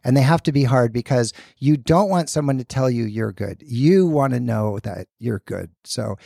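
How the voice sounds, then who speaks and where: Gravelly guy, sports science guest, earnest storytelling, keeps restarting sentences, dry close mic. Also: mid-range voice, moderate pace, warm tone, no room sound. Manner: earnest, thoughtful, many restarts. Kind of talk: conversational storytelling.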